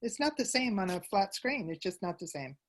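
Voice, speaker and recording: A woman's voice: mid-range voice, sing-song, brisk. A woman giving conversational answers as an interview guest about her work in a theater troupe.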